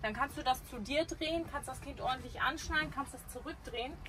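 A woman's voice: high-pitched, brisk, fairly bright tone, rough recording. A woman in her thirties, a family vlogger, chatting casually to a handheld camera with many hesitations. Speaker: vlogger, mother travelling in a camper. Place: playground outdoors, then inside a camper van.

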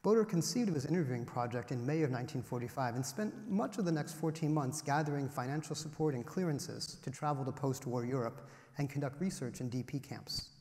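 A man in his forties giving an academic lecture from a podium, measured and explanatory, stressing each item as he lists them. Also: mid-range voice, a little mellow. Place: large auditorium, at a podium. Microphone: podium microphone. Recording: roomy hall with long reverb.

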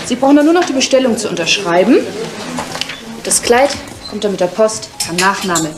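A woman's voice: mid-range voice, animated, normal speed, close to an everyday voice. An actress playing a polite, persuasive middle-aged saleswoman, businesslike and reassuring, in 1980s TV film style.

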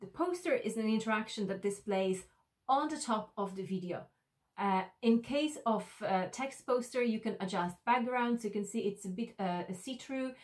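A woman's voice: medium pitch, moderate pace. Woman giving an instructional walk-through. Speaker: instructor presenting an e-learning tutorial.

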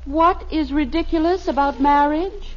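An American actress playing an affectionate, gently chiding middle-aged wife and mother in a 1950s radio sitcom. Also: high voice, normal speed, close to an everyday voice. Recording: muffled old radio broadcast before an audience.

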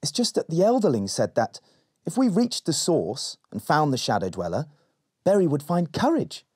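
A man voicing a bold, bossy but encouraging young elf girl from a storybook, brisk and urging. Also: fairly high pitch, wildly swooping pitch, a little mellow, slightly rough, no room sound, studio quality, pitched above the performer's own voice, exaggerated voice.

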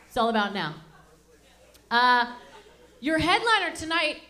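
Canadian lady in her 30s doing standup, high voice, chatty and rambly, handheld mic in a small club. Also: very animated, slow pace, sharp tone. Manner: chatty, rambling, self-mocking. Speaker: stand-up comedian and MC. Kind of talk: stand-up comedy set. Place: small dark lounge with a live audience.

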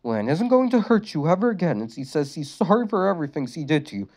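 A man voicing a distressed mother who gently pleads with her son to calm down. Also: a little high, quick pace, voice pushed up. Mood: urgent pleading. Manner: pleading, urgent coaxing.

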